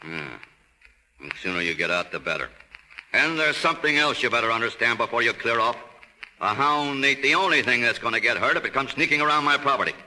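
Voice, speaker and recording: A man's voice: medium pitch, expressive, a little fast, bright, forceful tone, a little hiss or hum, rough recording. A man acting in a 1930s radio drama as a stern, hostile rural landowner, curt and threatening. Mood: angry, commanding. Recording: 1936 radio broadcast recording.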